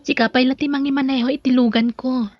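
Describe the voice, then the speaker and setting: A woman's voice: high-pitched, fairly even intonation, fast, muffled recording. A woman acting a friendly, bashful single businesswoman in an audio drama, with an Ilocano Filipino accent. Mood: polite, hopeful. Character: Pearly, single businesswoman, friendly bashful younger sister-in-law.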